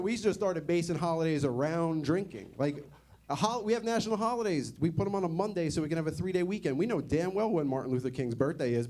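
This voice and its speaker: A man's voice: a little high, noisy background. A young man doing a stand-up comedy set in a conversational, sardonic way, often asking the audience questions. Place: comedy club stage.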